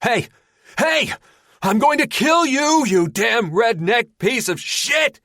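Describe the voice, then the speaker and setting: A man voicing a furious male federal agent held captive, shouting threats. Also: on the high side, expressive, normal speed, no echo, studio quality, pitched above the performer's own voice. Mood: enraged.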